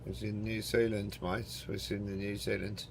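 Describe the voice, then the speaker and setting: A man with a gruff, fry-laden voice narrates his own gameplay in a loose, joking way, swearing now and then. He mutters to himself and speaks to his chat. Loud aircraft engine noise roars under his voice. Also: on the low side, level tone, normal speed. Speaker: game streamer. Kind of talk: casual live commentary while playing a flight simulator.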